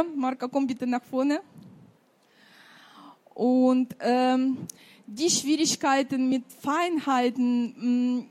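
Frau mit russischem Akzent, langsam, hoch. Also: female voice, sits high, darkish tone. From Ukraine. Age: 50s. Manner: halting, many fillers, non-native grammar. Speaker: children's author. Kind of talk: book fair presentation.

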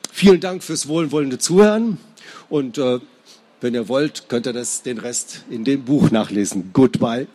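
A man reads literary fiction aloud in a husky voice. His pitch sits in the middle of the male range, and the sound is rough-edged rather than smooth. It has the feel of an author presenting a book. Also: darkish tone. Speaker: author reading from a novel at a public reading. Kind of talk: literary prose reading.

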